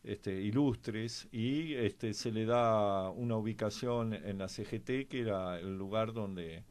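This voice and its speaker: A man's voice: lowish voice, slow, a little mellow. An Argentine man discussing history conversationally, hesitating often as he searches for his words. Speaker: history programme panelist.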